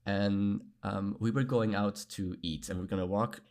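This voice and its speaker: Earnest low-voiced man, chatty guest. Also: fairly even intonation, measured pace, darkish tone. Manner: earnest, reflective, laughs often, frequent fillers. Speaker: podcast guest. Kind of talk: candid conversational interview.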